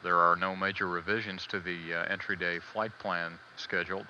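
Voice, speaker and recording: A man giving live spaceflight status commentary as a mission control announcer, measured, hesitant and matter-of-fact, on old band-limited broadcast audio. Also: low-pitched, flat delivery, bright, forceful tone, muffled recording, slight background noise.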